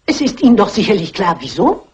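An actress playing a stubborn, shrewd elderly lady sleuth in a dubbed crime film, husky and briskly dignified. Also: medium pitch, sing-song, darkish tone. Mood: brisk, determined. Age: older. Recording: dull old film soundtrack.

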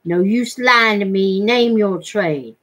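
A big backwoods man from a storybook haggles bluntly and suspiciously, voiced by a woman reader. The delivery is insistent, at a slow pace. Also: medium pitch, a bit bright. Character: huge hairy backwoods man, pushy, suspicious trader.

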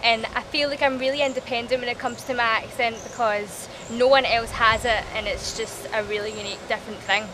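A woman's voice: on the high side, steady tone, brisk, hardly any pauses, background noise. A young woman from Scotland with a Scottish accent, talking earnestly and gushingly to camera about her singing career. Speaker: aspiring singer. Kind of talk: promotional profile talk to camera. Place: studio and city streets.

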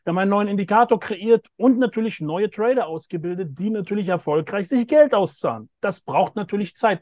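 Male host, 30s, video call, fairly high voice, emphatic and repetitive. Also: mellow, dry recording, studio quality. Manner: emphatic, repeats phrases for stress. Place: outdoors by a pool with greenery. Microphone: phone or laptop camera, video call.

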